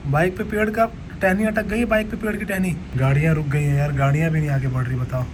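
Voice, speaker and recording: A young man with a high voice, hoarse from a sore throat, chatting excitedly as he films from inside an apartment. His talk is playful and quick to exclaim. Faint wind and storm noise sits under the handheld camera audio. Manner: excitable, playful, hums old film songs. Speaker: vlogger, husband of the host. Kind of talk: casual home vlog chat. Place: apartment at a window during a storm.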